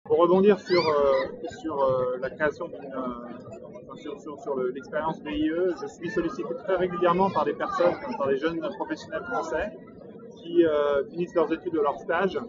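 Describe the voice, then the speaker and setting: A young man in his twenties from Brittany gives advice in a measured, hesitant way, pausing often as he thinks. His voice sits a little high for a man. The recording is muffled and distant, with loud noise behind him. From Brittany, France. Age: 20s. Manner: measured and advisory, many hesitations. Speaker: entrepreneur interviewee. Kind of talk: interview monologue.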